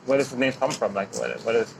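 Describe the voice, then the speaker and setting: A man's voice: mid-range voice, quick pace, gravelly, slight background noise. A man interviewing a passerby on the street, curious, repeating words and firing follow-up questions.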